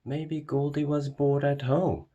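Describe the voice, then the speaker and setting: A man's voice: fairly high pitch, level tone, unhurried, dark tone, lo-fi sound, voice pushed up. A man voicing a cheerful, reassuring female hamster vet in a children's storybook. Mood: delighted. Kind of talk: children's storybook read-aloud.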